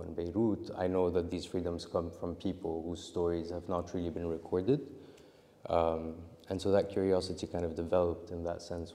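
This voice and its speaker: A man's voice: low voice, dark tone, big-room sound. A young man answering interview questions about his film, rambling and restarting often as he talks. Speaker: interview guest, filmmaker.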